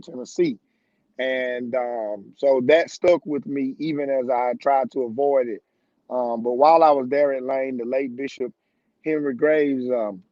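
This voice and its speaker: A man's voice: mid-range voice, dark tone, dry recording. Dull-sounding man in his thirties, earnest pastor. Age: 30s. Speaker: young pastor. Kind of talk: personal testimony in an online panel conversation.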